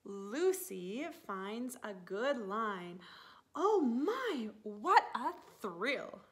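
Animated, higher-voiced woman reading slowly to children. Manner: animated, with gasps and drawn-out words. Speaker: teacher reading a picture book to young pupils. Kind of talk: expressive children's story time read-aloud. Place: living room, indoors on a sofa.

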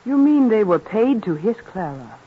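A doting mother in an old radio drama. She soothes and coaxes with lively swings of pitch, on a muffled recording. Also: normal speed, breathy edge. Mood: anxious. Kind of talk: old-time American radio acting. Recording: muffled old radio, crowd murmur behind.

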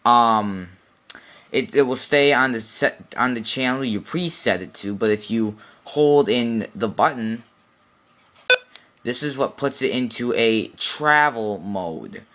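A man's voice: mid-range voice, slow pace, a bit bright. A man giving an informal, unscripted how-to demonstration in a matter-of-fact, instructional way, recorded indoors on a handheld camera. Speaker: product demonstrator. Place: small cluttered room indoors.

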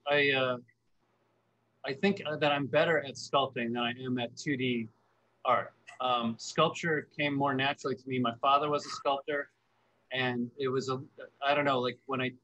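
Bright-voiced man, hesitant, on a video call. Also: mid-range voice, poor audio. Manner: hesitant, repeats words. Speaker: paleoartist guest. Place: workshop studio. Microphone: video call webcam.

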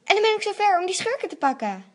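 A woman's voice: very high-pitched, very animated, quick pace, a bit bright, exaggerated voice. A woman playing a determined, earnest young princess in an amateur radio play. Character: young princess, determined and devoted to her family.

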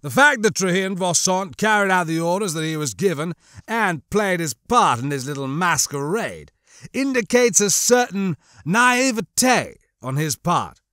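A scheming male crime lord acted by a man speaks in a fairly high voice with icy disdain. He is mocking and measured, a menacing audiobook villain, recorded close and dry. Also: lively intonation, sharp tone, no room sound, heavily acted. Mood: cold, measured. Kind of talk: audiobook character acting.

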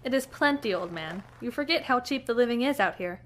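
A woman's voice: fairly high pitch, animated, fairly quick, lightly acted. A woman playing a headstrong young lady from a rich family, speaking formally and insistently in a western audio drama. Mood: assured. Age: young adult.

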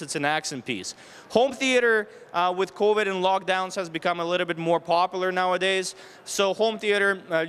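A man in his thirties speaks to an audience as a contractor giving practical advice. His voice sits high for a man and sounds clean and resonant. He explains step by step, posing rhetorical questions and running through options. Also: a bit bright. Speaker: renovation contractor giving a presentation. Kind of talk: public presentation with practical tips. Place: stage at an indoor home show. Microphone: handheld microphone held near the chin.

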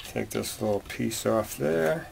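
A man's voice: on the low side, takes it slowly. A man giving casual hands-on how-to commentary in a folksy, plainspoken way, as a repair tutorial presenter. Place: garage workshop, at engine bay and workbench. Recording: clearly noisy workshop recording with clicks. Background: noise, tool clicks.

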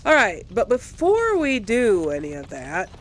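A woman's voice: medium pitch, huge pitch swings, measured pace, a little hiss or hum. A woman hosting a video game playthrough, chatting informally and thinking aloud as she plays. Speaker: gaming host. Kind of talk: casual let's play commentary. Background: game music.

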